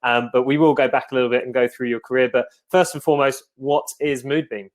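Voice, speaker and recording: A man's voice: medium pitch, steady tone, fast talker. A man with a mid-range voice hosts an online question and answer session. He speaks conversationally, in a polite and encouraging manner, as he guides a guest along. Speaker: host of an online Q&A. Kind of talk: hosting a conversational interview. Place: online video call.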